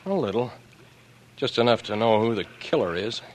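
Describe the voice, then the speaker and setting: Male actor for suspicious young small-time blackmailer, American, 1940s radio drama. Fairly low pitch, pointed and cocky delivery, slightly noisy vintage recording. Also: lively intonation, moderate pace, lightly acted. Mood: accusing. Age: young adult. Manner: terse, pointed, wary.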